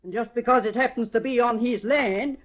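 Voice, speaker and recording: A woman's voice: a little high, a little fast, close to an everyday voice. An actress in a 1940s radio western playing a feisty, outspoken older ranch woman with blunt, folksy frontier talk. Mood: indignant, defiant. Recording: dull vintage radio recording.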